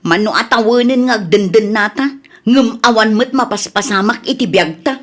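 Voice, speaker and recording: An actress plays a restless, self-willed Ilocano ex in a radio drama. Her voice sits a little high, and she speaks with dramatic insistence. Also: normal speed, close to an everyday voice. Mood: defiant. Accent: Filipino, Ilocano.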